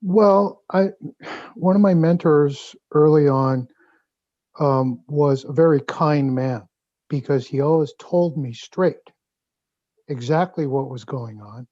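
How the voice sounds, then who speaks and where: A man from Hawaii making a thoughtful, measured comment as an audience member on an online call with muffled audio. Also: on the high side, mellow, no echo. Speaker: audience member asking a question. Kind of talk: conversational question and comment.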